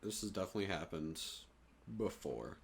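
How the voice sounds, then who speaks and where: Young adult man, very low pitch, casual sweary podcast chat. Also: animated, a little fast. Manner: informal, sweary. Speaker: podcast co-host.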